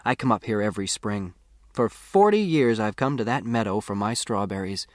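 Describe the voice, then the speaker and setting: A man's voice: lowish voice, expressive, normal speed, no echo, studio quality, close to an everyday voice. A man voicing a chatty, bossy old country woman in an audiobook, with rambling, folksy, insistent talk. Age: older.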